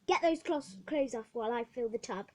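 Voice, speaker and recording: Brief: woman playing a bossy storybook matron, breathy, high-ish and loud, scolding and commanding. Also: normal speed, lightly acted. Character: stern bossy orphanage matron, scornful woman.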